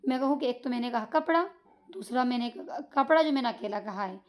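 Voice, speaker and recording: Patient teacher: a high-pitched woman taking children through grammar step by step. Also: animated, brisk. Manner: patient, repeats points with checking questions. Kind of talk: online grammar lesson for children.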